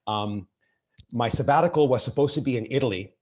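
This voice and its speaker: A man's voice: medium pitch, brisk, darkish tone. A middle-aged man from Argentina giving a conference keynote over a video call, hesitant, with many fillers and restarts. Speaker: keynote speaker. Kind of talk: online conference keynote talk.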